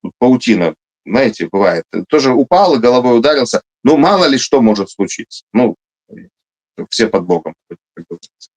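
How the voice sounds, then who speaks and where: A man's voice: medium pitch, lively intonation, normal speed, a little mellow, low-quality recording. A man with Russian as his first language, giving emphatic conversational commentary as an interview guest, with rhetorical questions and frequent hesitation fillers. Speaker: interview guest, army officer. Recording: online video interview.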